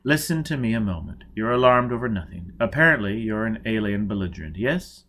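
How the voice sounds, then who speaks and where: A smooth young male interrogator in an audiobook, played by a man. He is courteous and soothing, with a lively, varied pitch that coaxes and reassures. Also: brisk, exaggerated voice. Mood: soothing sympathy. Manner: gentle, adroit, courteous.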